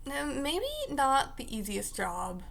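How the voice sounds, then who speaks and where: A young woman vlogging in a casual, rambling way, her voice raspy because she just woke up. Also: sits high, animated, slow pace, a bit bright. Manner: rambling, chatty, full of fillers like "like" and "uh". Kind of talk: casual talk to camera.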